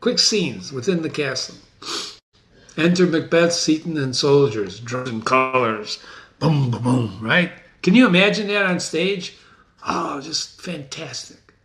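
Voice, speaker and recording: Casting: enthusiastic male reader-explainer, bright forceful tone, slightly high pitch, casual teaching delivery. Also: a little slow. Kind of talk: dramatic reading with casual explanatory asides.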